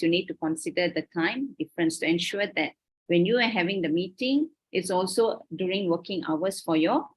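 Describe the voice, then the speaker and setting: A woman's voice: on the low side, slightly rough, rough recording. A Malaysian woman lecturing over a video call, explaining her points carefully and often checking in with "okay?". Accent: Malaysian English. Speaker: university lecturer. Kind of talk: online lecture.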